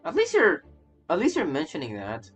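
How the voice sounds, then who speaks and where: A man's voice: fairly high pitch, wildly swooping pitch, moderate pace, fairly bright tone. A man casually commenting on a game he is streaming, stammering and repeating his phrases as he rambles. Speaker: streamer reacting to a game story. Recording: game music behind the voice. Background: game music.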